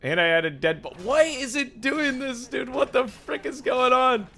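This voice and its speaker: A young man with a raspy, sore-sounding voice, casually commenting on his gameplay, often breaking into excited yelps between low mumbles. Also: unhurried. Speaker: gaming YouTuber.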